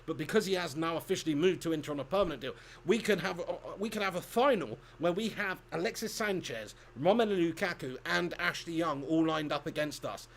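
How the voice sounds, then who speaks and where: Chatty high-voiced guy streaming. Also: a little fast, fairly bright tone, a little hiss or hum. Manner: chatty, laughs often, banters with viewers. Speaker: streamer hosting a football watch-along. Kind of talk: casual live commentary reading chat.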